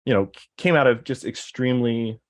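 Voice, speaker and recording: A man's voice: mid-range voice, fairly quick. A man in casual conversation, rambling, with many fillers and restarts. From American Deep South. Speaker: guest.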